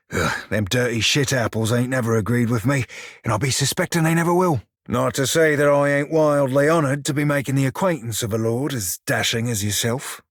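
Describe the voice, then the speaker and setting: Roguish shiphunter, game character, rough husky voice, salty slang, cocky, dry studio sound. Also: male voice, mid-range voice, dry recording. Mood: cocky, playful. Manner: salty archaic sailor slang, cocky and teasing. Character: swaggering, foul-mouthed blue-furred male pirate shiphunter, roguish. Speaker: video game companion character. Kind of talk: video game character dialogue and barks.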